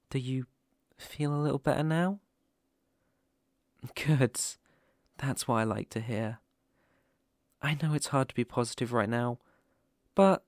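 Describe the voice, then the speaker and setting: British male werewolf mentor, acted by a man, ASMR roleplay. Gentle, reassuring, slow-paced, mid-pitched, very dry sound. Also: no echo. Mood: warm, encouraging. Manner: soothing, unhurried, tender.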